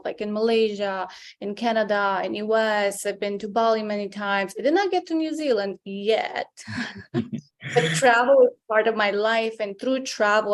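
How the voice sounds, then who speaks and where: A woman from Romania, a guest coach giving earnest, encouraging interview answers and speaking straight to the listeners. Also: on the high side.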